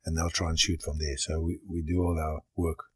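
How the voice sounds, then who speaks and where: Older guy from zimbabwe, deep husky voice, coach talking through drills, dry sound. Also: flat delivery, brisk, dry recording. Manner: explains step by step, plain and practical. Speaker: goalkeeper coach. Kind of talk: interview answers.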